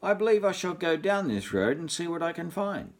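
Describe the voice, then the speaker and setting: A man's voice: on the high side, very animated, brisk, voice pushed up. An older Australian man voicing a clever, unflappable little pig in a storybook, polite but firm. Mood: polite, cheerful.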